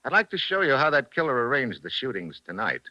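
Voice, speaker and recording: Hard-boiled radio investigator man, clipped, lively swings in pitch, dull old-time radio sound. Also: quick pace, dry recording. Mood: confident, accusing. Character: tough, wry male American investigator confronting suspects. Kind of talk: 1940s radio drama acting. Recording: muffled vintage 1940s radio recording.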